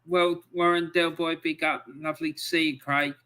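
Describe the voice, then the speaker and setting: A man's voice: on the high side, level tone, normal speed, pushed and bright, clear, resonant tone. A man hosting a casual interview podcast, reading out viewer comments in a chatty way with lots of fillers. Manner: chatty, laughs often, filler-heavy. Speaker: podcast host.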